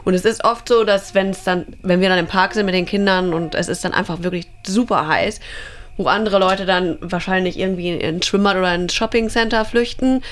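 A woman's voice: mid-range voice, steady tone, brisk. A woman in her thirties from Germany, telling a story in a casual interview. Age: 30s. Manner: chatty storytelling. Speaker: interviewee, homeowner.

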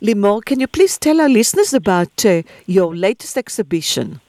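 A woman hosting a radio interview, asking questions in a measured, clear way. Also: mid-range voice, sing-song, a little mellow, no room sound. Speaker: radio host.

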